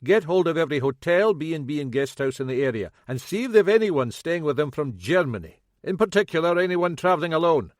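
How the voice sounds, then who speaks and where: A man voicing a shrewd middle-aged Scottish detective inspector with a dry, brisk, commanding manner and a Scottish accent. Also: fairly high pitch, expressive, dry recording, voice pushed up, lightly acted.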